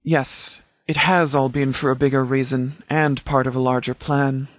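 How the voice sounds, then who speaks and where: An actor playing a stern, principled middle-aged sheriff in a video game, speaking in a formal, grave and deliberate way. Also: male voice, medium pitch, little pitch movement, fairly bright tone, dry recording, clean studio sound, natural voice. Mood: quietly earnest. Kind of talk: scripted video game character dialogue.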